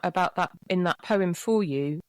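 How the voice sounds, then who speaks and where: A woman co-hosting a podcast, speaking in a thoughtful, measured way with frequent restarts. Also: on the low side, no room sound. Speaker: podcast co-host. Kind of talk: reflective interview talk.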